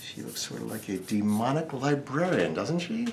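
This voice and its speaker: A man's voice: mid-range voice, very animated, normal speed, creaky, a little hiss or hum. A man teaching a casual step-by-step drawing tutorial, with wry, joking asides. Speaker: drawing instructor.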